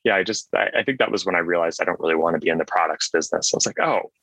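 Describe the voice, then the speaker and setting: Deep, bright-voiced man telling casual stories. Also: racing, dry recording. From Switzerland. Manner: chatty, self-deprecating, peppered with "like" and "right?". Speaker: podcast guest, solo business owner. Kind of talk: casual interview storytelling.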